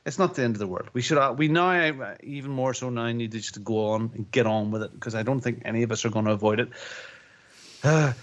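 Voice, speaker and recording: Chesty podcast guy with a lingering cough, rambly, keeps restarting, middle-pitched voice. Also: fast, lo-fi sound. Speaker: podcast co-host. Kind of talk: casual chat.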